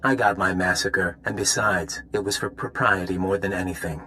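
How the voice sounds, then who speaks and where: A man's voice: sits low, fairly even intonation, moderate pace, pushed and bright, slight background noise. A man playing a smug rogue saboteur in a video game, taunting in a casual, mocking manner. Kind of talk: scripted video game dialogue.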